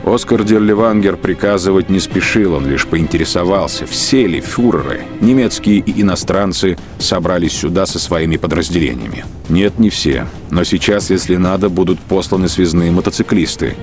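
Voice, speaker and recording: A man's voice: low-pitched, moderate pace. A man reading scripted literary narration as the narrator of a radio drama. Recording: studio narration over clear music bed. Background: music.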